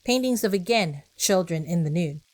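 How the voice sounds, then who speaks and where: A woman's voice: fairly low pitch, animated, normal speed, a little mellow. A woman podcast host reading scripted narration with personal asides, speaking conversationally and directly to her listeners.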